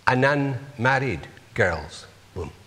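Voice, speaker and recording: A man's voice: medium pitch, very animated, takes it slowly, fairly bright tone, long reverb tail. A man preaching a sermon, earnestly putting rhetorical questions to his congregation.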